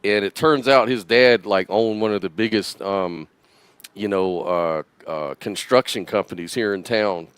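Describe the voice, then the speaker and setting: Smooth, low-voiced man telling a story. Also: unhurried, dry recording. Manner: rambling, relaxed storyteller. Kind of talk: casual storytelling.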